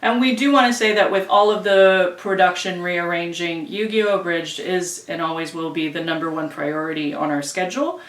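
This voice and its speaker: A woman's voice: on the low side, moderate pace, hardly any pauses, far from the mic. Woman in an echoey room, off-mic. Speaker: co-host and channel manager. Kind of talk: casual update to supporters.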